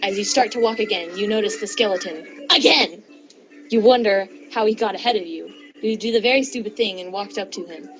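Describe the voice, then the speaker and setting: A woman's voice: mid-range voice, a little fast, fairly bright tone. A young woman reading fan fiction aloud to friends, giggly and stumbling over words, with joking asides. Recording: dull lo-fi mic, clear chatter around. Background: friends chattering and laughing.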